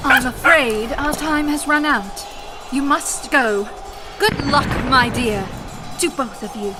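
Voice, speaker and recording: Good witch in a radio play, highish female voice, formal n protective, music behind. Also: slow pace. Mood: urgent, earnest. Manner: formal, theatrical phrasing. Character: good witch, earnest, brisk, protective, wryly fretful. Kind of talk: audio drama acting.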